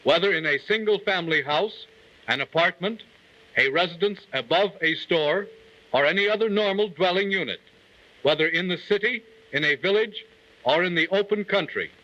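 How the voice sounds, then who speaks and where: Male narrator, vintage government training film: forceful, bright, fairly high voice, formal declamatory read, muffled old soundtrack. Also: moderate pace. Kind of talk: 1940s scripted instructional narration. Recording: dull, lo-fi 1940 film soundtrack.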